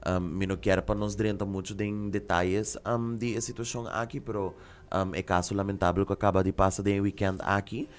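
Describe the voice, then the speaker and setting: A male radio host asking questions slowly, with many pauses and ums, and cheering his young guest on. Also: on the low side, steady tone. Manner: hesitant, many ums, encouraging. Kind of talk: radio interview.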